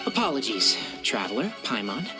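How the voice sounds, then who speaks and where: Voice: medium pitch, big pitch swings, normal speed, music in the background, close to an everyday voice. An actor's game voice for a knowledgeable, busy young male plant expert, articulate and explanatory. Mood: flustered, apologetic. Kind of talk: video game voice acting.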